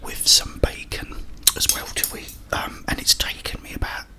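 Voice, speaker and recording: A British man hosting an ASMR ramble in a breathy, unvoiced whisper, chatting casually with slow pauses. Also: bright, forceful tone. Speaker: ASMR video host.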